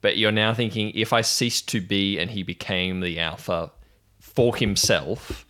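A man narrating deliberately to a player as game master of a tabletop role-playing game. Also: on the low side, sharp tone.

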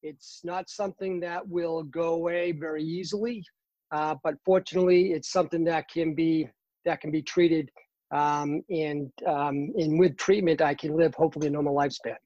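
A man in his fifties with a Boston accent, a guest in a casual interview, talking earnestly with many pauses and fillers. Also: a little high, steady tone, mellow, no room sound, muffled recording. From Boston, Massachusetts. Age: 50s. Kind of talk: casual interview over a call.

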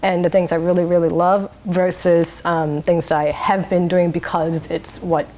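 A woman's voice: fairly low pitch, level tone, moderate pace, warm tone, low-quality recording. Chatty woman who laughs a lot. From Singapore. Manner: chatty and rambling, laughs often, many um's and restarts. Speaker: interviewee, wine bar owner. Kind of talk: oral history interview.

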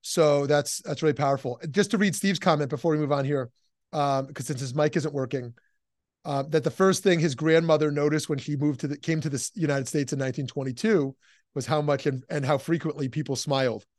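A man leading an informal class discussion as a rabbi and teacher, speaking haltingly and often repeating his words and phrases. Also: a little high, a little mellow, no room sound, studio quality.

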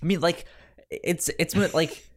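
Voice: mid-range voice, fairly even intonation, unhurried. Stuttering podcast co-host telling a casual anecdote. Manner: stutters, repeating sounds and words; informal storytelling with quoted dialogue.

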